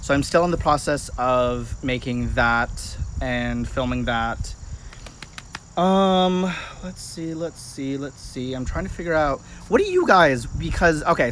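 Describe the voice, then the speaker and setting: A man's voice: medium pitch, fairly bright tone. Chatty young man with noisy background. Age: late twenties. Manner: chatty, informal, addresses viewers familiarly. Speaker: livestream host, practitioner of folk magic. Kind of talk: casual livestream chat with viewers.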